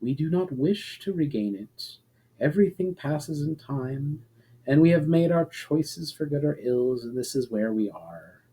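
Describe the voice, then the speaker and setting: A man voicing a proud, calm female elf leader in a fantasy storybook, with stately, measured and formal speech. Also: fairly high pitch, slightly rough, poor audio. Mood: wistful, serene.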